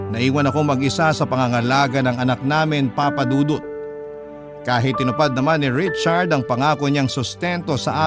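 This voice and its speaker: A man narrating a dramatic first-person story as a radio storyteller. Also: a little high. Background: background music.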